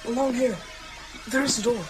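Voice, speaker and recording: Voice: medium pitch, moderate pace, music in the background, natural voice. A performer playing a brave, uneasy young boy who senses evil in a radio drama.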